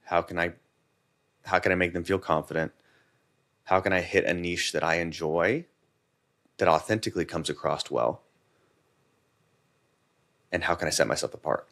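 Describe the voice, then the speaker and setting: Deep-voiced man, relaxed podcast guest. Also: normal speed, long pauses. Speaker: podcast guest, content creator. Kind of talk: casual conversation.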